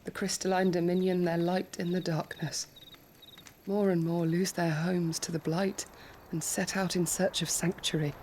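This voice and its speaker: A loyal female attendant from a fantasy game, acted by a woman, with a fairly low voice. She is composed and thoughtful, speaking with formal deference. There is faint ambient noise behind her. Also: flat delivery, moderate pace, darkish tone, close to an everyday voice. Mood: pensive. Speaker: video game character. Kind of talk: dramatic cutscene acting.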